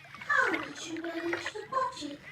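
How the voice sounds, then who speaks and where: Woman as polite girl dolphin, squeaky high pitch, inquisitive, audiobook character, strong echo, splashy noisy backdrop. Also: very animated, a little slow, distant mic, heavily acted. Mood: curious, teasing. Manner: inquisitive, direct, courteous. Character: polite young female dolphin, curious and chirpy.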